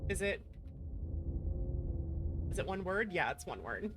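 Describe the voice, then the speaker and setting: A woman's voice: fairly low pitch, sing-song, a little slow, noisy background. A woman streamer giving playful, chatty, casual commentary while playing a game. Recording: music behind the voice. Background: game music.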